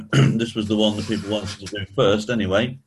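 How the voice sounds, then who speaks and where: A man with a croaky voice, clearing his throat often as he leads a church study session, slowing down on key points. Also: on the low side, fairly even intonation, slight background noise. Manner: measured, slows down on key points. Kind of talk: spoken teaching talk.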